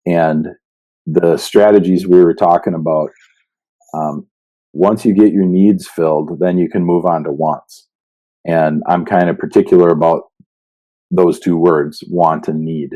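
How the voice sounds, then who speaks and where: Deep, mellow-voiced man chatting casually. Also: steady tone, measured pace, rough recording. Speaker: podcast co-host. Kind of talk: casual chat.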